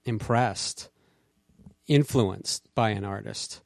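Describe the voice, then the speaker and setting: Low-voiced male interviewer, measured and probing. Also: gravelly, dry recording, clean studio sound. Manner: measured, probing questions with restarts. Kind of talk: interview conversation.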